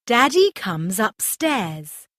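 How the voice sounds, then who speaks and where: A woman narrating a scripted children's story, in simple, deliberate phrases for young learners. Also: on the high side, sing-song, a bit bright, dry recording.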